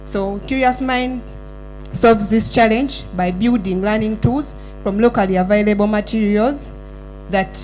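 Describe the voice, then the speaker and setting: A woman from Uganda, an engineer and founder, giving an earnest startup pitch with small slips and self-corrections. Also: a little slow, darkish tone, soft background music, rough recording. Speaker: entrepreneur pitching at a summit.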